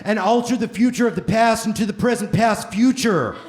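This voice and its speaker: A man playing a frantic, earnest young inventor and rebel in a comedic live radio play, urgent and excitable. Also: high voice, a little fast, reverberant, soft background music, voice pushed up, lightly acted. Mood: frantic, excited.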